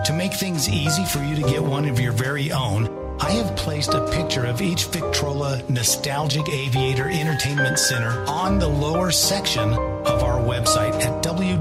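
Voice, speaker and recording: Male host, mid-pitched voice, scripted promotional narration, loud music bed. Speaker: show host reading a sponsor spot.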